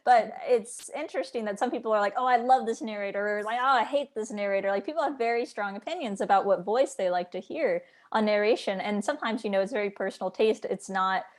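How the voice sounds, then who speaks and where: A woman with a medium-pitched voice hosts a casual interview. She is chatty and a little rambling, slipping quoted asides into her explanations. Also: fast, hardly any pauses. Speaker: interviewer, book editor.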